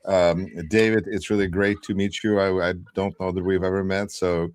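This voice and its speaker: A man hosting a livestream panel, introducing guests and moderating with brisk, friendly remarks over a video call. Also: low-pitched, flat delivery, smooth, dry recording. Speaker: livestream host.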